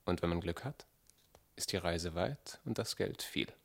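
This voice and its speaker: Young cynical charmer in a radio drama, brooding, deep with lots of creak. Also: male voice, lively intonation, a little slow, a bit bright, full character voice. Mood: calculating. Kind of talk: German radio play monologue.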